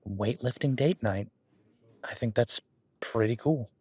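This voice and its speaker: A man's voice: medium pitch, measured pace, a little mellow, breathy edge, no room sound. A man playing a friendly, easygoing werewolf boyfriend who coaches his date at the gym in a casual, encouraging, rambling roleplay audio. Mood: warm, upbeat. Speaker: gym partner giving workout guidance. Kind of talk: roleplay audio drama, one-sided casual conversation.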